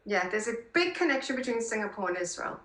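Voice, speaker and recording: A woman's voice: medium pitch, very animated, fast talker, a bit bright. A woman in her fifties with a South African accent, speaking emphatically and effusively as an interview guest. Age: 50s. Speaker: podcast guest, political columnist. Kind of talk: conversational interview answers. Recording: roomy, echoing sound.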